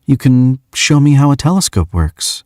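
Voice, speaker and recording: A man voicing a calm, alluring female demon who speaks softly and formally. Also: mid-range voice, no room sound, clean studio sound, voice pushed up, close to an everyday voice. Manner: soft, measured, formal speech without contractions.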